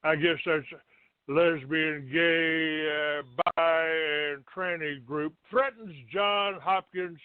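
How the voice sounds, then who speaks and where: Slow, halting man on a phone-like line. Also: fairly high pitch, fairly even intonation, clean tone. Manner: halting, grumbling, blunt with swearing. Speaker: talk show host. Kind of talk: rambling monologue, reading out a written notice. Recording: thin phone-like band, roomy echo.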